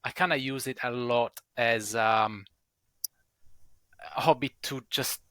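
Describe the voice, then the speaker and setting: A man's voice: medium pitch, steady tone, slow pace, a bit bright, smooth, dry recording. A man from Italy, native Italian speaker, with an Italian accent, chatting casually on a podcast and laughing between phrases. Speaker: podcast guest. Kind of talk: casual chat.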